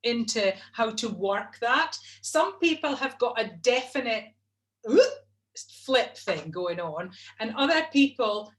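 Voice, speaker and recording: Middle-aged woman vocal coach, talks through exercises on a webcam call, a bit high-pitched. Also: lively intonation, takes it slowly, fairly bright tone. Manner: chatty and instructive, demonstrates hums. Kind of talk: explaining voice technique. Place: home room, video call. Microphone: video call webcam.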